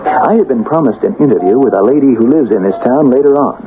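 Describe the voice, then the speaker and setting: An actor playing a grave newspaper correspondent delivering a measured, portentous report in a radio drama. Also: male voice, mid-range voice, lively intonation, lightly acted. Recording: tinny, noisy old radio broadcast.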